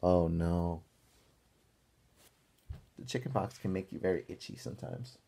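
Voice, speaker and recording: A young man reading a picture book aloud to children as a teacher, pausing for encouraging asides and questions. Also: low voice, creaky, mellow. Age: young adult. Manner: encouraging, asks listeners questions. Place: small room at home, indoors.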